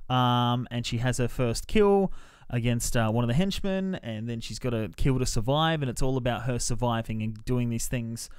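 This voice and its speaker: A man hosting a podcast, explaining things in a halting, informal way as part of a casual film review chat. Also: medium pitch, smooth, dry recording, studio quality.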